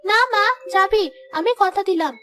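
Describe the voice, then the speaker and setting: A woman's voice: extremely high pitch, moderate pace, a bit bright, soft background music. A woman voicing a playful, stubborn fifteen-year-old girl with a childlike, pleading manner. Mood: eager.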